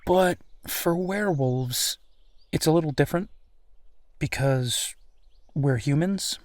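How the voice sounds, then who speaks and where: A man playing a candid, awkward werewolf in an intimate audio roleplay, talking in a halting, conversational way with sighs. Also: fairly high pitch, a little mellow, no echo. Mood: thoughtful, explaining. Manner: halting, hesitant, sighing, conversational. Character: easygoing young male werewolf, awkward, candid, bitter about rival.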